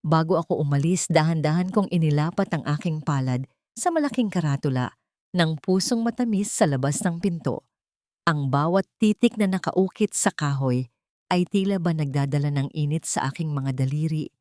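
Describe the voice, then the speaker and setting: A woman with a Filipino accent narrating a scripted dramatic first-person story in a measured, emotive way. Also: sits low, darkish tone, no room sound, studio quality.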